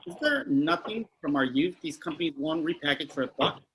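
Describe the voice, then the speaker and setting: A man's voice: fairly high pitch, expressive, brisk, fairly bright tone, poor audio. A man reading the part of a boastful, oblivious older man in a sitcom table read, with some noise behind him.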